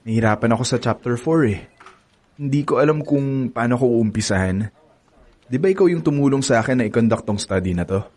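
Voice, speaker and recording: A man's voice: mid-range voice, lively intonation, fairly quick, darkish tone, lightly acted. A smug young campus heartthrob in a radio drama, teasing and sure of his charm, played by a man. His voice sits in a middle register and his talk is playful and cocky. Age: young adult. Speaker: narrator and lead character.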